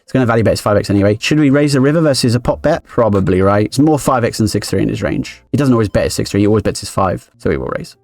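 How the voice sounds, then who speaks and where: Fast-talking man breaking down poker hands. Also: on the low side, lively intonation, darkish tone. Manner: quick, analytical thinking aloud. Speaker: poker streamer. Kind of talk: live-streamed poker play and commentary.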